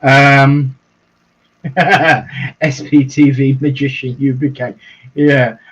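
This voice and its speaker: A man's voice: medium pitch, level tone. A man co-hosting a livestream as a reverend, chatting casually and chuckling often. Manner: chuckling, easygoing, drawn-out phrasing. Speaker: reverend co-host. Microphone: video call. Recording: dull lo-fi livestream audio.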